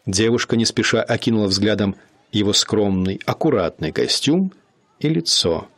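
Male narrator, low voice, lively intonation, measured literary reading. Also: no echo.

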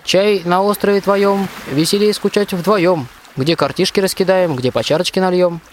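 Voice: medium pitch, normal speed, lightly acted. A performer playing a plucky, friendly soldier hero in a rhymed radio play, declaiming heartily. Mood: inviting. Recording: faint watery ambient noise.

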